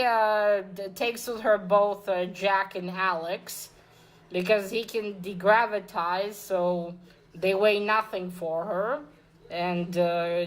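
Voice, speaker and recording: A woman's voice: mid-range voice, low-quality recording. A woman giving a casual, unscripted comic book review, rambling and hesitant, correcting herself as she goes, with blunt informal language.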